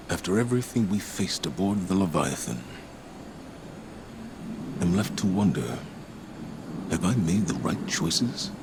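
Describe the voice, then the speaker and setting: An actor playing a grave, guilt-ridden veteran commander in a video game, speaking in a solemn, reflective, measured way. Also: male voice, sits low, background noise. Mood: weary, reflective. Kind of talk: dramatic video game monologue and dialogue.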